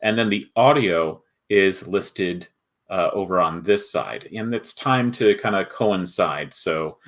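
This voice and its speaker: A man hosting a casual livestream walkthrough, explaining things in a halting, thoughtful way. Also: deep voice, a bit bright. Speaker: host, board game video maker.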